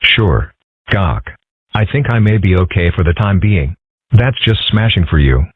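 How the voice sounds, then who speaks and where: A man voicing a calm, dry-witted ten-year-old boy in a cartoon comic dub, with a husky voice and a mild deadpan manner. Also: deep voice, a little slow, dry recording, exaggerated voice. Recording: muffled band-limited audio.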